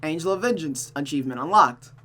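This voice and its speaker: A woman's voice: low-pitched, lively intonation, quick pace, a bit bright. A woman reading a visual novel aloud as host, in a dramatic storytelling style. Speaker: storytime host, game reader. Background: music.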